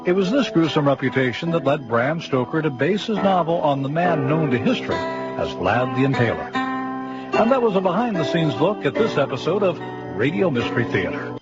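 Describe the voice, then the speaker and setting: Higher-pitched male radio presenter over music. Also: sing-song, brisk. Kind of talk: scripted explanatory narration. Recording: dull radio sound. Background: loud plucked string music.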